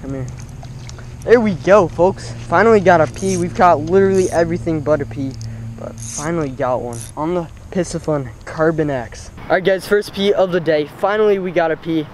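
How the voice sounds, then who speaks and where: A young man commenting live on his fishing outdoors by a pond, breaking into excited exclamations with every catch. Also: on the high side, moderate pace, slight background noise. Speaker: fishing vlogger.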